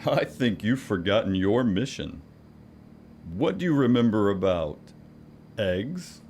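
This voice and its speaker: A man playing a kindly, gently commanding old Santa Claus in an audio drama, reassuring and quietly authoritative. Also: lowish voice, sing-song, slow pace, slight background noise, heavily acted. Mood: firm.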